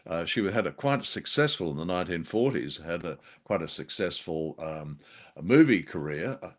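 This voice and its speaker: An older man with a fairly low, very animated voice. He chats casually as a review show co-host. His delivery is halting and wry, leaning into dry jokes. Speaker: podcast co-host. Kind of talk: casual chat, reviewing an old radio drama.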